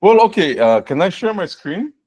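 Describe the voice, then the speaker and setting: A man in his forties, a guest on a video-call fireside chat, explaining his work in a relaxed way with frequent restarts and "right?". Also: sits high, moderate pace, breathy edge. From born in the United States, raised in Saudi Arabia and Canada. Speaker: guest, fractional CTO.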